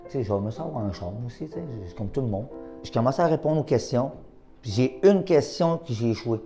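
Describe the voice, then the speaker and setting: A man with a Québécois accent, an adult literacy learner, telling his story in a lively way and acting out his own past thoughts. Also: medium pitch, fairly quick, music in the background. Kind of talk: personal testimony.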